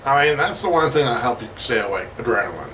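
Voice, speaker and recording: A middle-aged man talking in a casual, rambling video diary, halting and pausing mid-sentence. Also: medium pitch, animated, bright, forceful tone, distant mic, a little hiss or hum. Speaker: road-trip vlogger. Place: parked vehicle cabin, later a small motel room. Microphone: camera at about arm's length.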